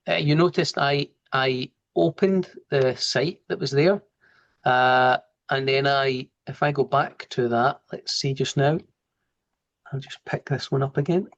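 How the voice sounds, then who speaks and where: A man with a broad Glasgow accent leads a live online training session. His voice is pitched in the middle and comes through a video call microphone. He explains things in a relaxed, informal way and talks through each step as he works. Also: unhurried, lo-fi sound. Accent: Glasgow Scottish. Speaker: software trainer.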